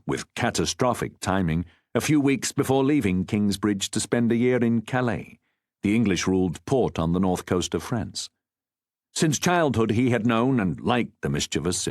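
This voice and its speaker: A man narrating a historical novel as an audiobook, with a measured, formal storytelling delivery. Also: fairly low pitch, dry recording, clean studio sound.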